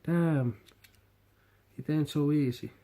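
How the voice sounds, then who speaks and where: A Finnish man vlogging a toy unboxing in halting non-native English, hesitant and repeating his words. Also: on the high side, warm tone. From Finland. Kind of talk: casual unboxing commentary.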